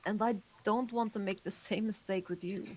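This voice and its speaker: A woman's voice: mid-range voice, steady tone, normal speed, darkish tone, slightly rough, close to an everyday voice. A woman reading the part of an ageless female vampire, guarded and remorseful, in a table read. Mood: somber.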